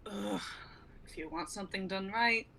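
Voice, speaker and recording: A woman acts out a cocky, ruthless bounty hunter in a live drama reading. She is sardonic and brash, with a bright, forceful mid-range voice. There is some background noise. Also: mid-range voice, normal speed, vocal fry. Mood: exasperated. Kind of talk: amateur dramatic script reading.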